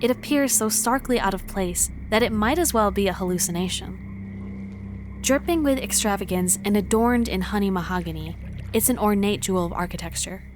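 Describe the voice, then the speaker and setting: A woman playing a wary, determined traveler, giving measured, introspective first-person narration in a visual novel fan dub. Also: mid-range voice, noisy background, natural voice. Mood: tense, determined. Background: music.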